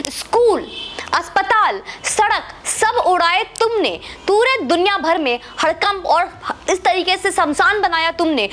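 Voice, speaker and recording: A woman with a clearly high voice speaks as a political commentator. She argues combatively, addressing her opponents directly with sarcasm and pointed rhetorical questions. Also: fairly bright tone, slight background noise. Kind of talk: opinion monologue to camera.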